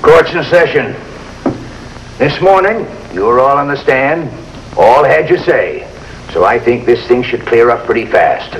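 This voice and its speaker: An actor with an American accent playing a folksy, easygoing small-town judge in a 1950s television drama. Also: male voice, mid-range voice, lively intonation, unhurried. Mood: brisk, folksy. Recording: faintly noisy old TV soundtrack.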